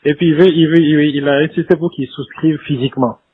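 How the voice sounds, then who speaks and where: A man's voice: on the high side, fairly even intonation, fast talker. A man giving an informal briefing over a tinny phone line, speaking hesitantly and deferentially, with many repeated words. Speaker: former government official briefing by phone. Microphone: telephone.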